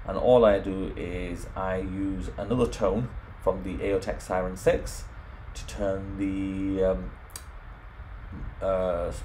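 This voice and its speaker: Low-voiced man, informal tech walkthrough, noisy recording. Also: flat delivery, takes it slowly, dark tone. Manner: explains step by step. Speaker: home automation tutorial presenter. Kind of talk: informal software screen walkthrough.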